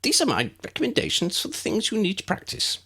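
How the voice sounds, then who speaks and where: A man puts on a kindly little old wizard professor for a storybook. The voice is lifted high and swoops about, genteel and precise, with an avuncular, excitable air. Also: fast, breathy edge, exaggerated voice. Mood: instructive.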